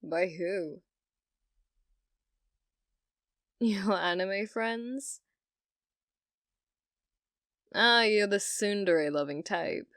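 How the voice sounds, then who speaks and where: Woman acting out a slow, teasing, flirty yandere date. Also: medium pitch, fairly bright tone, dry recording, clean studio sound. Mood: teasing, dismissive. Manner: teasing, coy, slow and deliberate, drops to whispers. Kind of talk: ASMR roleplay.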